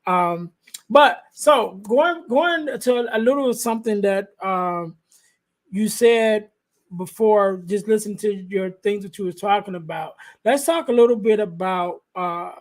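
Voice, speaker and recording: A man with a light voice hosting a podcast interview, talking softly and loosely with lots of fillers. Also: sits high, a little slow. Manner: soft-spoken, rambling with many fillers. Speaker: podcast host. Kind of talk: casual interview chat.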